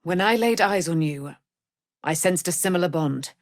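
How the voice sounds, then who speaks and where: A woman's voice: fairly low pitch, lively intonation, normal speed, no echo, clean studio sound. A woman playing a proud, noble immortal warrior in a fantasy video game, with grand, archaic, theatrical speech. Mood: solemn, earnest.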